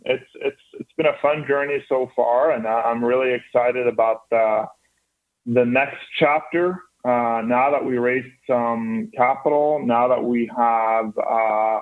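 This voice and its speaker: Norwegian guy in his 30s, earnest founder, lots of uhs and restarts, phone-line sound. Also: mid-range voice. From Norway. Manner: earnest, with frequent restarts and fillers. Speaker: startup founder guest. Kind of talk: radio interview answers. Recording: band-limited phone line.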